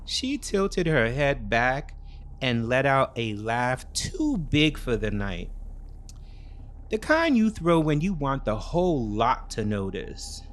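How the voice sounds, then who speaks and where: A man giving dramatic, scripted first-person thriller narration in deliberate, clipped phrases. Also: medium pitch, very animated. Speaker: storyteller.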